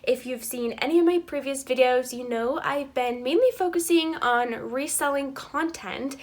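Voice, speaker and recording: A woman's voice: high-pitched, moderate pace. A woman in her twenties, stuffy from a cold, hosting a chatty, upbeat budgeting walkthrough for her viewers. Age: 20s. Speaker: channel host.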